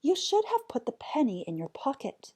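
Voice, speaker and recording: A woman voicing an exasperated mother from a storybook, scolding shrilly with drawn-out words. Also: high voice, wildly swooping pitch, mellow, voice pushed up. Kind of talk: storybook character voice.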